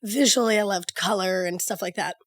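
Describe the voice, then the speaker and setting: Hoarse, raspy Southern woman from Georgia, chatty podcast guest, close dry sound. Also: medium pitch, fairly quick, dry recording. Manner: lively, chatty, laughs easily. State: hoarse from a cold. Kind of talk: casual chat.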